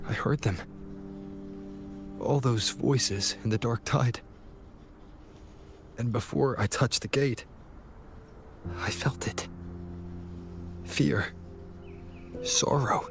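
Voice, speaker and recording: A man playing a calm, thoughtful young male hero in a video game, with an earnest delivery. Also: medium pitch, very slow, gravelly, pauses often, over music. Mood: pensive.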